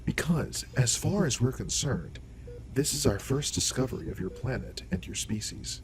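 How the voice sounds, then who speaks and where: A man's voice: mid-range voice, expressive, normal speed, a little hiss or hum, close to an everyday voice. A man playing a courteous, authoritative alien doctor in an audio roleplay, speaking in a formal, explanatory way. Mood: intrigued.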